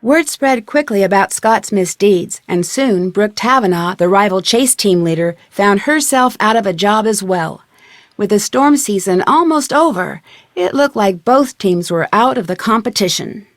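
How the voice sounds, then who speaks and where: An actress playing a composed, plucky young detective with an American accent, narrating in a scripted video game style. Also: female voice, mid-range voice, animated, unhurried, no room sound, studio quality. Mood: light, wry. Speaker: detective heroine. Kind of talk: scripted game narration, letter read aloud.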